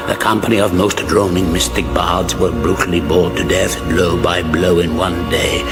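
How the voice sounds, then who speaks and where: A man acting as the grave, portentous narrator of a mock-epic fantasy radio comedy. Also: sits low. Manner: portentous, grandly solemn.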